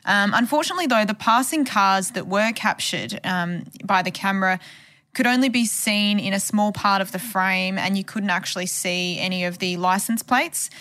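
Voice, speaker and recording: A woman's voice: medium pitch, normal speed, a bit bright. A woman journalist giving a conversational podcast account of a case. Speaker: journalist who covered the case.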